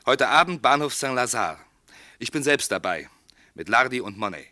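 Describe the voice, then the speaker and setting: Brisk, bright-voiced police inspector. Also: male voice, medium pitch, animated, no echo, clean studio sound, soft background music. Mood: watchful, focused. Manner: matter-of-fact, clipped, authoritative. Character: brisk, confident police inspector running a stakeout. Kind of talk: German crime radio drama acting.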